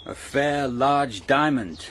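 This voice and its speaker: Slow, blunt young knight. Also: male voice, mid-range voice, fairly bright tone, slight background noise. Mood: blunt disparagement. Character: plain, blunt young knight, slighting older brother. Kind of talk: verse radio drama acting.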